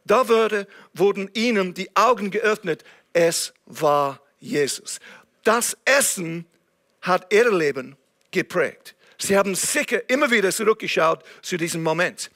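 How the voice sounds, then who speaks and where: A man's voice: high-pitched, lively intonation, measured pace, fairly bright tone. A man from England preaching a sermon in German with an English accent, earnest and now and then searching for words. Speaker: guest preacher.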